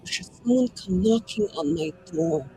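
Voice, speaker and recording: A man playing a grieving, menacing noble who speaks slowly and deliberately in broken phrases. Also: high voice, animated, mellow, slight background noise. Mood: cold threat.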